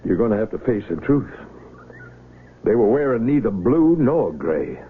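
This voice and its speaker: An actor playing a calm, persuasive physician in a radio drama. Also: male voice, fairly low pitch, moderate pace, a little hiss or hum. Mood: firm. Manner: patient, persuasive. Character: calm middle-aged male physician, coaxing. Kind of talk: 1970s radio drama acting. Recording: tinny old radio broadcast.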